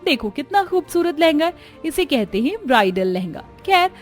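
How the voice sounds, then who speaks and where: A woman's voice: sits high, sing-song, moderate pace, faint music behind, voice pushed up. A woman voicing a haughty, boastful rich young bride-to-be in a cartoon, talking in a showy, condescending way.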